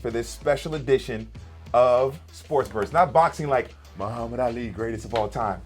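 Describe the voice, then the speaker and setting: A man's voice: medium pitch, normal speed, faint music behind. A man from the United States hosting a casual sports talk show, chatty and upbeat.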